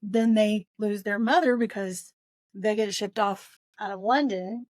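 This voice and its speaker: Halting woman podcast host, dry sound. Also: mid-range voice, steady tone, no room sound. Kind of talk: casual book discussion.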